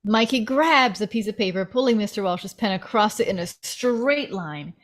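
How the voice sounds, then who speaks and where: Female narrator for screenplay action lines, mid-pitched, dramatic scripted read. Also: lively intonation, moderate pace. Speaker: narrator reading stage directions at a table read.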